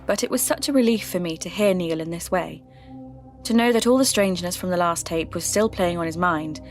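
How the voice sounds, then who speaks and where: A woman playing a reflective podcast host, reading scripted narration in a measured, confiding way. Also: on the low side, close to an everyday voice. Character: reflective female podcast host investigating folklore mysteries. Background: music.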